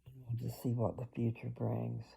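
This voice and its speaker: A woman's voice: very deep voice, level tone, normal speed, vocal fry, warm tone, breathy edge, poor audio. An older woman chatting casually in a personal vlog, rambling and talking straight to her viewers. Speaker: vlogger.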